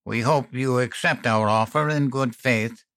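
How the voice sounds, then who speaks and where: A man's voice: medium pitch, measured pace, a bit bright, dry recording, studio quality, voice pushed up. A man voicing a company representative in a suit who speaks stiffly, formally and dismissively. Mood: coldly formal.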